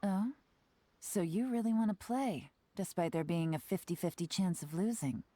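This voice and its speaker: Mellow, teasing spymaster played by a woman. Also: fairly low pitch, normal speed, natural voice. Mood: intrigued, sly. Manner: teasing, smooth, self-assured. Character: shrewd, playful female spymaster, teasing and confident. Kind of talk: scripted video game character dialogue. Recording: game music behind the voice.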